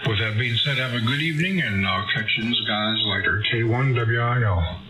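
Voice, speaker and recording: A man's voice: medium pitch, moderate pace, background noise. A man speaking casually as an amateur radio operator over a radio link. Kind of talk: casual radio sign-off chat. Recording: slightly dull ham radio audio.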